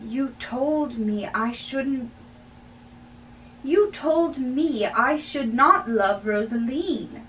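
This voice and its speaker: A young woman playing a lovesick, earnest young man, impatient and pleading, in a halting dramatic play reading in a bedroom. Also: high voice, fairly bright tone, slight background noise, exaggerated voice. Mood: pleading, subdued. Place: small bedroom, indoors. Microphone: camera a few feet away. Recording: distant mic in a bedroom.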